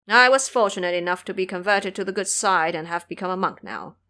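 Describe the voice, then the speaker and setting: A woman's voice: on the low side, a little fast, sharp tone. A woman voicing a boastful, foolish male pig monk with a brash, bragging manner in an audio drama.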